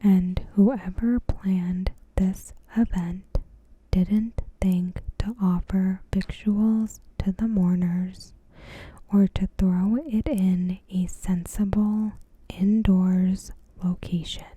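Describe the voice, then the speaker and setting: Very slow, breathy whispering woman. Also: medium pitch, fairly even intonation, dry recording. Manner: soft-spoken, slow, measured, pausing mid-sentence. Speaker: ASMR video creator. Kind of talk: ASMR, reading a TV episode recap.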